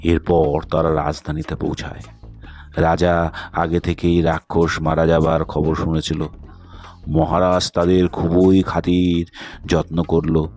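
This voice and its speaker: A man reads a cartoon fairy tale aloud in a calm, steady storytelling voice. His pitch sits low, and he lets each phrase unfold slowly. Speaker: narrator. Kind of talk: scripted storybook narration.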